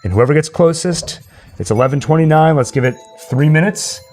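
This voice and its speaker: A man's voice: a little high, fairly quick, darkish tone, faint music behind. A man hosting a casual livestream quiz, playful and thinking aloud as he goes. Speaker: host and trivia quizmaster.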